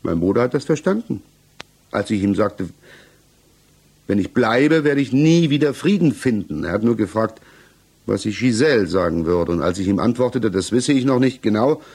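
A troubled suspect being questioned in an old radio crime drama. His voice is low and breathy-rough. He justifies himself haltingly and stays on the defensive. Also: lively intonation, darkish tone. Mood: troubled, explaining. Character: defensive, troubled male suspect under interrogation. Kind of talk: German 1960s radio play acting.

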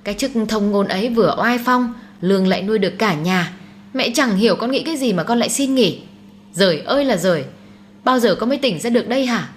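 A woman's voice: a little high, a little fast, slight background noise, pitched above the performer's own voice, close to an everyday voice. A woman voicing a domineering, snobbish older mother who scolds indignantly in a dramatised audiobook. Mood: furious.

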